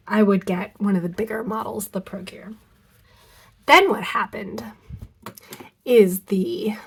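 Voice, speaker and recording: Congested woman chatting about pens. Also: mid-range voice. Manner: chatty, rambling, self-correcting with many ums and likes. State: nasal and congested from a cold, losing her voice. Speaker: fountain pen vlogger. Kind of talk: casual unboxing chat to camera. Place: home craft studio.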